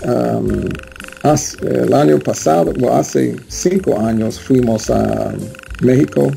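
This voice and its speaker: A man with an American English accent, a Spanish learner, answering interview questions in a halting way with many fillers. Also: mid-range voice, gravelly, mellow, a little hiss or hum. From United States. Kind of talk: casual interview chat.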